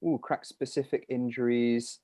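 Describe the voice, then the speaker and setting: A man's voice: medium pitch, level tone, normal speed, warm tone. A British man, a climber, answering questions in a casual live chat, rambling a little as he thinks aloud.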